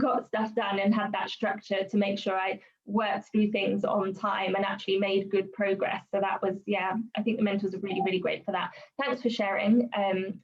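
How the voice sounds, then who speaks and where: A woman hosting a conversational interview as the interviewer. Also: medium pitch. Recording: slightly dull, lo-fi audio.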